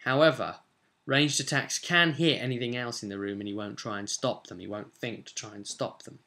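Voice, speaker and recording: A young man with a British accent talks casually to the camera like a game master retelling a session. His voice is bright and forward, at an ordinary male pitch. He is chatty and leans hard on key words. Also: steady tone, normal speed. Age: young adult. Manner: chatty, emphatic, gesturing storyteller. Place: small indoor home room, seated at a desk.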